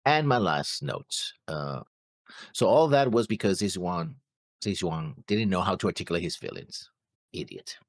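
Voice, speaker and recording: Low-voiced man chatting casually. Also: expressive, unhurried, no room sound, studio quality. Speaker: co-host of an anime reaction show. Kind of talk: casual chat.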